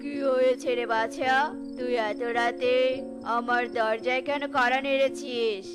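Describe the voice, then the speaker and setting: A woman's voice: fairly even intonation, unhurried, natural voice. A woman voicing a greedy old male holy man who cons birds, commanding and condescending, over music. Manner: commanding, condescending, booming laugh.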